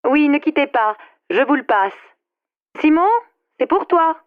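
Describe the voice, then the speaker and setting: A woman with a high voice answers calls politely, like a receptionist. Her voice comes through thin and tinny, as if over a telephone. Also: expressive, measured pace. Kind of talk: scripted phone dialogue. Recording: tinny phone-line sound.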